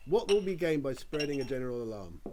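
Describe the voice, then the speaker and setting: A man's voice: medium pitch, very animated, quick pace, noisy background, lightly acted. An actor playing an irritable, fatalistic male scientist in a radio drama, curt and scornful. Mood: irritated, dismissive.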